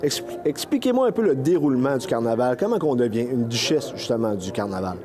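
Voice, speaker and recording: A man's voice: medium pitch, very animated, quick pace, background noise. A man with a Quebec French accent interviewing someone in the field as a local TV reporter, asking friendly, informal questions.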